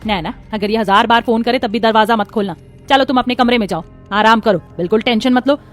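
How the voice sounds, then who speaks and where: A woman's voice: on the high side, brisk, faint music behind, natural voice. Woman playing a firm, motherly mother-in-law. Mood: caring, reassuring. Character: firm, protective middle-aged mother-in-law, caring toward daughter-in-law. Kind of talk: animated family drama story.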